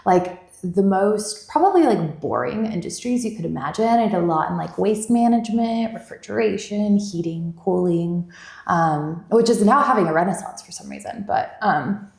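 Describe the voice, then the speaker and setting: Gravelly low-voiced woman in an echoey room. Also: lively intonation, moderate pace, darkish tone. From Bulgaria. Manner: chatty, rambling, many "like" fillers. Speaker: venture investor guest. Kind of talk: casual interview chat.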